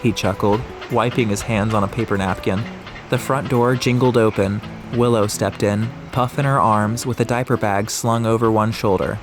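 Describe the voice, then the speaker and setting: A man's voice: fairly low pitch, fairly even intonation, normal speed. A man narrating an atmospheric audiobook story from a script. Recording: music behind the voice.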